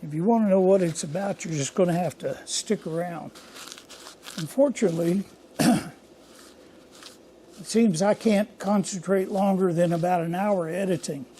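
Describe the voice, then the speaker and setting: An older man hosting a casual workshop vlog from his home machine shop, talking in a hoarse, rambling, folksy way. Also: sits high, moderate pace, pauses often, mellow, slight background noise. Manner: rambling, folksy and self-deprecating. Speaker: workshop channel host.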